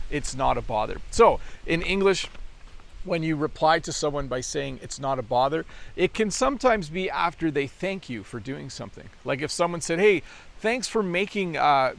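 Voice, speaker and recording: A middle-aged Canadian man with a Canadian accent, giving a relaxed, friendly English lesson with casual fillers. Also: fairly high pitch, creaky. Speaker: English teacher.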